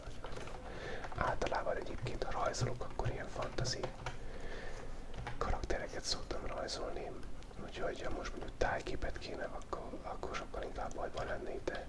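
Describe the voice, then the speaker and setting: An ASMR creator whispering breathily in a playful, musing way while narrating a drawing. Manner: playful, joking, musing aloud. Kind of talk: whispered ASMR, rambling casual drawing commentary. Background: writing and drawing sounds, keyboard clicks.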